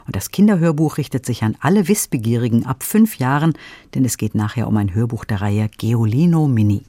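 A man's voice: medium pitch, expressive, a little fast, mellow, no room sound. A man hosting a radio review programme, presenting scripted introductions and questions in an orderly, informative way. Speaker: radio host. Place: dry studio.

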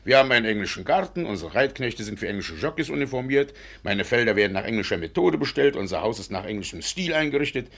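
Pompous, husky, creaky-voiced landowner father. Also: mid-range voice, sing-song, fast talker, hardly any pauses, a bit bright. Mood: pompous, complaining. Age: middle-aged. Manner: pompous, effusive, easily indignant. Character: pompous, doting Anglophile Russian landowner and father. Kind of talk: German radio play acting.

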